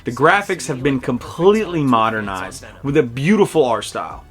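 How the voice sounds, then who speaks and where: A man's voice: mid-range voice, lively intonation, normal speed, a bit bright. A middle-aged man hosting a casual, personal game review, talking in a nostalgic, enthusiastic storytelling way. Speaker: video game reviewer and host. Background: music under the voice.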